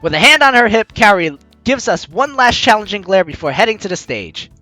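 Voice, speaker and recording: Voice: mid-range voice, big pitch swings, fairly quick, bright, forceful tone. A let's player giving jokey, teasing commentary and reading a game's story aloud, with game music behind. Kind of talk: let's play commentary and read-aloud narration.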